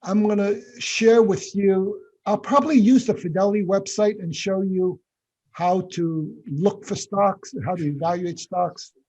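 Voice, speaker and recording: A man's voice: high-pitched, steady tone, a little slow, darkish tone, poor audio. A man hosting an online finance class, chatty and laughing often, restarting his sentences as he talks with attendees. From Boston area. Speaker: lecturer hosting a finance session. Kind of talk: casual online class chat.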